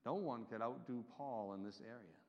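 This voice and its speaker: A man's voice: mid-range voice, normal speed. Man preaching in an echoing hall. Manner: emphatic preaching, rising and falling in loudness. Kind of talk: sermon. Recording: dull reverberant hall recording.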